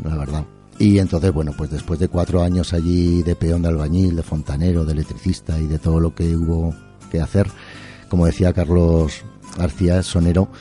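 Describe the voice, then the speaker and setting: Middle-aged male interview guest, Aragonese accent, deep and very mellow, unhurried colloquial storyteller. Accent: Spanish, from Aragon. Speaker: interview guest, artist and musician. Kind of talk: casual radio interview.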